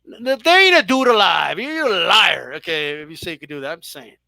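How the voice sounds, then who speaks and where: A man with a high, bright voice riffs loosely to his live chat about the fights, swearing freely and teasing the viewers. The sound is dry and close, with no room around him. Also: sing-song, fairly quick, creaky, dry recording. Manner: profane, chatty, banters with viewers. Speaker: boxing live-stream host. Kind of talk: casual live commentary to chat.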